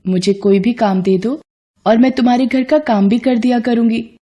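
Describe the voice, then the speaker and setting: A woman's voice: fairly high pitch, fairly even intonation, normal speed, mellow, highs cut off, voice pushed up, natural voice. A woman voicing a devoted, sweet and eager goddess wife in a devotional story, respectful in manner. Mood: humble pleading. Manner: respectful, pleading, wonder-struck. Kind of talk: devotional story dramatization in Hindi.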